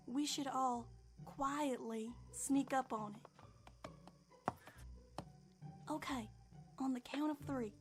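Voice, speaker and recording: An earnest, friendly young cartoon filly, voiced by a woman. The voice is high and youthful, with music playing behind it. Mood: cautious. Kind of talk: fan-made cartoon dub.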